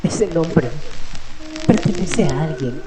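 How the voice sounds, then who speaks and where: An actress playing a fearful, haunted woman with a dark secret in a radio drama, grave and halting. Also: low voice, animated. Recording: echoey, music and rain behind.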